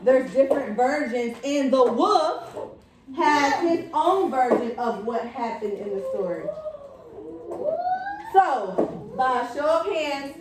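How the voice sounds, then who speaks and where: A woman leads an interactive classroom read-aloud in a high voice, giving directions and prompting with questions. She sounds distant from the mic in a very reverberant room, with clear noise behind her. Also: expressive, slow pace. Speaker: elementary school teacher. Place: indoor classroom with children. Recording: roomy, echoing, noisy classroom, distant mic.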